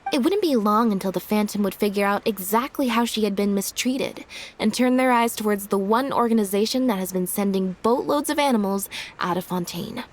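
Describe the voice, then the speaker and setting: A woman playing a calm, deductive young detective in a video game, speaking in measured, articulate and deliberate exposition. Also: mid-range voice, natural voice. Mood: coolly accusing. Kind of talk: scripted video game dialogue. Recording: music behind the voice.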